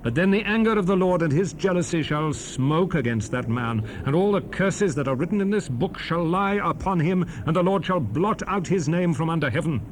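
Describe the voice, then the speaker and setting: Casting: male actor as stern biblical prophet, higher-pitched and widely swinging delivery, solemn, emphatic oratory for scripture audio drama. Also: expressive, normal speed, hardly any pauses, close to an everyday voice. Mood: stern, warning. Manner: declamatory, grave, emphatic. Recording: noisy 1970s cassette rip.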